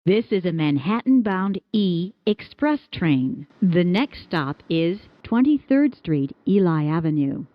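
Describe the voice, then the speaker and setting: Slow, measured woman announcer, dull audio. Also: lowish voice, big pitch swings, no echo. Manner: measured, formal. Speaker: transit announcer. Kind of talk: recorded subway station announcements.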